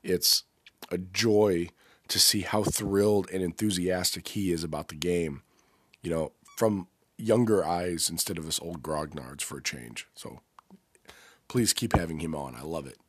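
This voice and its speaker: Man, podcast host, stuffy nose from a cold, very low pitch, dry recording, rambling casual talk. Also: steady tone, a little slow, husky, no echo. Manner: loose, rambling, self-correcting.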